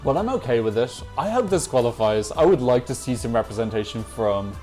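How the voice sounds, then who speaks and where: A man's voice: mid-range voice, sing-song, fairly quick, darkish tone. A man hosting a Eurovision reaction video, giving chatty, opinionated commentary and correcting himself as he goes. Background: faint music from the songs being reacted to.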